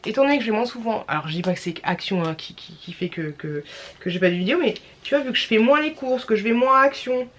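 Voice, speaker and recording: A woman's voice: a little high, expressive, fast talker, a bit bright. Young woman w a cold rambling on her vlog, stuffy and tired, tons of umms, bit of hiss behind. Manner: rambling, lots of fillers and restarts. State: has a cold. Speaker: vlogger. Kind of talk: casual vlog chat.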